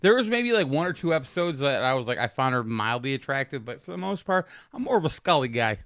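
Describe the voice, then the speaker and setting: A man's voice: medium pitch, big pitch swings, quick pace, a bit bright, dry recording, studio quality. A man in his late thirties joking crudely and laughing often in casual podcast banter. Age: 30s. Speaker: podcast co-host.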